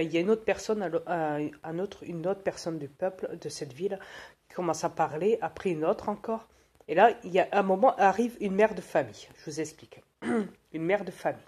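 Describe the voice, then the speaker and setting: Low husky woman telling a story. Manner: animated, colloquial, many fillers. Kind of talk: casual spoken storytelling.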